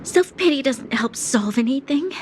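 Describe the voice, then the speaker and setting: A woman's voice: sits high, animated, moderate pace, background noise. A woman playing an earnest, dutiful young noble heiress in a game, speaking formally and with measure. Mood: grateful, resolved. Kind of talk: dramatic game cutscene voice acting.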